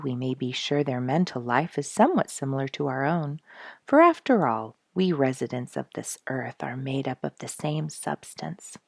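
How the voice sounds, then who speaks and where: A woman reading a story aloud to children as a storyteller, expressively and with hushed emphasis. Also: deep voice, creaky, no room sound, studio quality.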